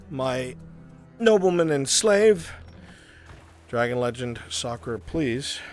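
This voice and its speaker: Male game master, medium pitch, directing players in live roleplay, music behind. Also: expressive, very slow, long pauses.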